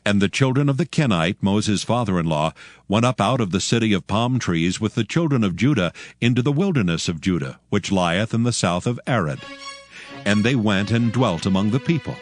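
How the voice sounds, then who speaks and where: Low, animated male scripture narrator. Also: moderate pace, no echo, faint music behind.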